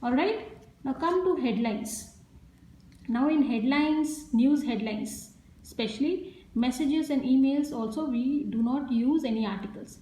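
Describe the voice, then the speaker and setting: A woman's voice: high voice, a little slow, dark tone. A woman with an Indian English accent teaching a grammar lesson, explaining step by step and repeating each example sentence. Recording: echoey room sound.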